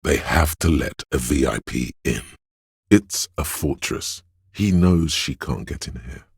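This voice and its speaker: A slick, suave inner voice, narrating a video game straight to the player. It is extremely low and heavily husky, recorded very dry and close. The delivery is measured, theatrical and wry, with a cunning edge. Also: male voice, animated, no room sound, full character voice. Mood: sly, goading. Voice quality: very deep, husky. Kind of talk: second-person game narration.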